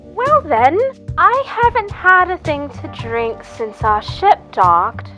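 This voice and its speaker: A high-voiced, unhurried young mushroom girl, acted by a woman, speaks with dainty good manners and a breezy air. Her tone is bright and clear-cut, made for a steampunk fantasy audio story, with faint music underneath. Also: big pitch swings, heavily acted. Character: polite young female mushroom-folk delivery girl, breezy, unfazed. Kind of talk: narrative fantasy podcast acting.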